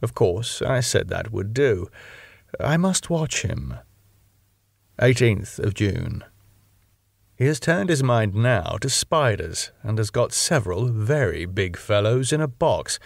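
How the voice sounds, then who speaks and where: A man narrating a classic novel as an audiobook in a measured, expressive, dramatic way. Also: lowish voice, vocal fry, no echo, clean studio sound.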